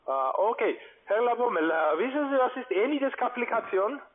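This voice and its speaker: A man with a foreign accent giving a halting, formal phone sales pitch in broken, non-native phrasing. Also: expressive. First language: not German. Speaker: cold-calling account manager. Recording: tinny, band-limited phone line.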